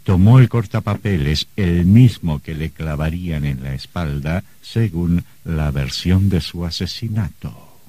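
An actor playing a grave, ominous narrator in a scripted radio horror drama. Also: male voice, low voice, measured pace, dark tone, no echo.

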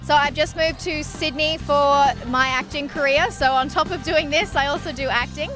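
A young woman from the US speaks politely and with enthusiasm in a red carpet interview. Her voice is high, and music plays clearly behind her. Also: fairly even intonation, normal speed. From United States. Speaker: actress and festival advisor.

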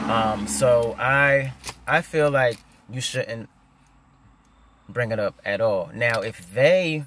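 A man's voice: mid-range voice, a bit bright, slight background noise. A man in his thirties who stutters, giving casual advice into a phone camera from his parked car, with repeated words and many fillers. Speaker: vlogger. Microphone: phone camera at arm's length.

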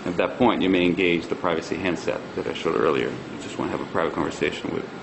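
A man's voice: low-pitched, quick pace. A man giving a live product demonstration, explaining conversationally with some hesitation. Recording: clear room hum and ambient noise.

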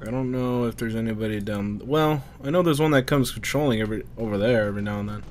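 A man's voice: lowish voice, quick pace. Sweary man commentating over noisy game audio. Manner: frustrated, sweary muttering. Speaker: gamer playing a shooter. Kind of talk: casual gameplay commentary. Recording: game gunfire and ambience behind. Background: war game sounds, gunfire.

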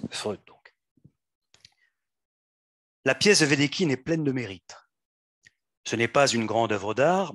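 A man at a public event reading texts aloud into a handheld microphone in a conference room, in a measured, deliberate way. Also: mid-range voice, a bit bright, no echo, clean studio sound. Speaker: reader.

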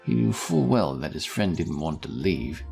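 A man's voice: a bass voice, very animated, normal speed, vocal fry, darkish tone, faint music behind. A man reading scripted fantasy narration as the storyteller.

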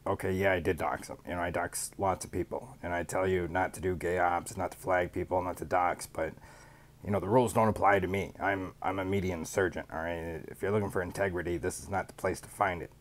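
A man in his thirties putting on a smug rival streamer who cheerfully admits to being a villain, speaking deadpan into a studio mic in a small home studio. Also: sits low, fairly quick, natural voice. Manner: deadpan mock-confession, sarcastic. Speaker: podcast guest doing a mocking impression. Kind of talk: sarcastic in-character rant. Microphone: studio mic on boom arm, headphones.

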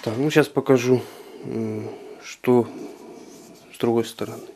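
A man giving an unscripted walkthrough of his home brewing equipment, talking haltingly with many filler sounds, recorded indoors on a handheld camera. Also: medium pitch, fairly even intonation, background noise. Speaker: home brewer. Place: indoor room with carpet.

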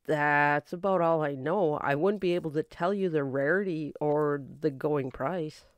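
A woman's voice: low voice, measured pace, dry recording, dull audio. Chatty woman acting as a detective's secretary. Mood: friendly, modest. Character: female secretary to a private eye, chatty, modest. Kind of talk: old time radio acting.

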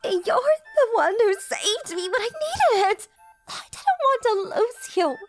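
A lovestruck teenage anime girl, extremely high and very animated in pitch. She is clingy and jealous, delivering everything with dramatic emotion, as in a romantic comedy dub. Music plays behind her. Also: slow pace, heavily acted. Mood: tearful, relieved.

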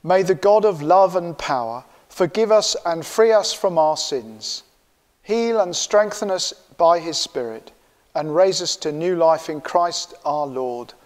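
An older man leading church prayers and a confession in a measured, solemn recitation. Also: a little high, animated, darkish tone. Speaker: minister leading the service. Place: church sanctuary, indoors. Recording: long church reverb.

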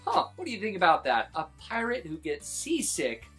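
Animated male children's storytime host. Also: high-pitched, normal speed, soft background music. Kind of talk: animated picture-book read-aloud.

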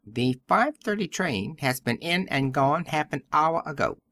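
A woman voicing a brisk, offhand male station master in a dramatic audiobook reading. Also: low voice, expressive, fairly bright tone, pitched below the performer's own voice.

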